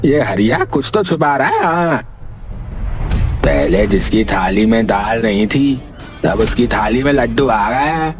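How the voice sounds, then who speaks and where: A man voicing a nosy, sneaky village henchman in a cartoon story, comic, mocking and excitable. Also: mid-range voice, unhurried, over music, close to an everyday voice. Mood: nosy, scheming. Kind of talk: animated moral story acting.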